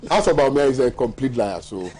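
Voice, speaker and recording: A man's voice: mid-range voice, expressive, fast, a little mellow. A man with a Ghanaian accent hosting a morning TV show, reading viewer messages aloud and bantering with his panel with frequent laughter. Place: TV studio.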